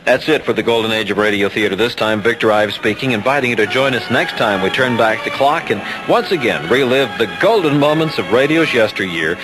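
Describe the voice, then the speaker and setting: A man's voice: mid-range voice, animated, a little fast, hardly any pauses, over music. A man hosting a radio show, reading scripted narration. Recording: noisy off-air radio tape.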